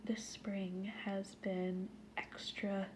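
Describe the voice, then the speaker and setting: A woman with a stuffy nose, talking casually to her viewers, breathy and often half-whispered between sighs. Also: medium pitch, level tone, very slow, noisy background. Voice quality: stuffy nasal voice. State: stuffy nose, sniffling and sneezing. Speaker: vlogger. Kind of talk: casual talk to camera.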